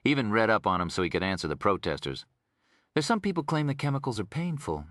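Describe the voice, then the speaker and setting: A man's voice: fairly low pitch, big pitch swings, fast talker, fairly bright tone, no room sound, clean studio sound. A man voicing a retired Texan prison officer in an audiobook, an older man with a folksy, rambling drawl. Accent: Texas.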